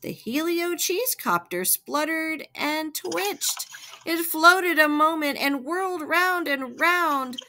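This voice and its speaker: A woman narrating a children's picture book aloud, expressive and rhythmic like a librarian reading to students. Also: sits high, slow, slight background noise. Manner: expressive, rhythmic rhyming delivery.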